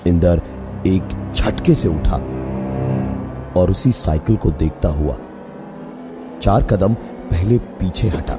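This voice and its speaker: Deep, slow male horror narrator. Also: lively intonation. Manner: suspenseful, drawn-out phrasing with pauses. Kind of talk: scripted dramatic horror narration. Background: music under the voice.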